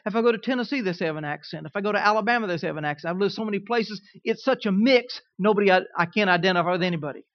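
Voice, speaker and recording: High-voiced man preaching with a Southern twang. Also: rapid-fire, few pauses, no room sound. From Kansas. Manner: conversational preaching, often checks in with listeners. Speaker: pastor. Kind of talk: sermon. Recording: dull, dry recording.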